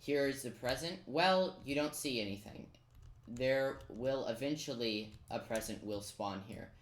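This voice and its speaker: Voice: medium pitch, unhurried. Chatty game guide commentator in an echoey room. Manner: chatty, self-deprecating, thinking aloud. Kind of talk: casual gameplay tutorial commentary. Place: indoors in a roomy-sounding room at a computer. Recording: roomy echo, keyboard clicking noise. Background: keyboard typing and clicks.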